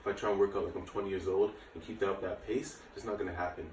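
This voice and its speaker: Male fitness instructor, late 30s, very low voice, informal and reassuring, recorded at a distance from the mic. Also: fairly even intonation, fast talker, a little mellow. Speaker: martial arts and fitness instructor. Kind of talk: casual workout instruction to camera. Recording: echoey room, distant mic, faint noise.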